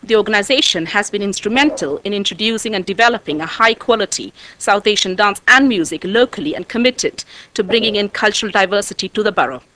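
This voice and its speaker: A woman with a croaky voice giving a formal presentation to a council as an arts company director. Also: mid-range voice, lively intonation, fast talker, bright, forceful tone, low-quality recording. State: croaky voice, needing water. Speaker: artistic director of a dance company, guest speaker. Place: council meeting room.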